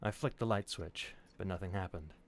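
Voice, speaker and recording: A man's voice: low-pitched, normal speed. A man reading stories aloud on a stream, with casual asides. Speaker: reader on a storytelling stream.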